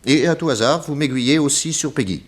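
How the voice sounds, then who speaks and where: A man's voice: fairly high pitch, fairly quick, lightly acted. A man playing a confident detective in a 1960s French radio drama, speaking in a theatrical, emphatic, methodical way. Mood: relentless exposition. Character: confident male detective laying out an accusation.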